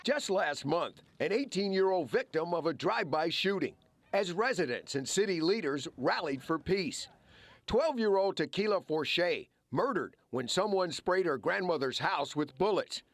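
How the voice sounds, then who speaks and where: Male American investigative reporter, higher-pitched, lively scripted news narration, dry close sound. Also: moderate pace, no room sound.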